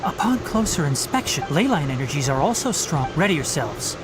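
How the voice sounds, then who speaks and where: A man voicing a calm, careful young forest ranger in a video game, with measured, explanatory delivery. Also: a little high, over loud music. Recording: game audio with loud soundtrack.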